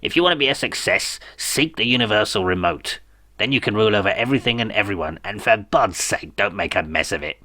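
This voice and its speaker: A man voicing an ancient, feeble, dying evil dictator, slow and scornful, in a weak whispery voice broken by coughs. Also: lowish voice, expressive, bright, forceful tone, no echo. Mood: stern, failing.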